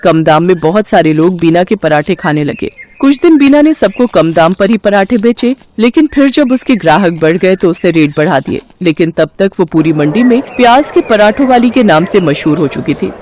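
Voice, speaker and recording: A woman's voice: on the low side, lively intonation, normal speed, hardly any pauses, a little mellow. A woman reading a scripted moral story as its narrator.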